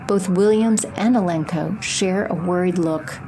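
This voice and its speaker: A woman's voice: lowish voice, measured pace, dark tone, slight background noise, poor audio. A woman reading scripted third-person narration for an audio drama.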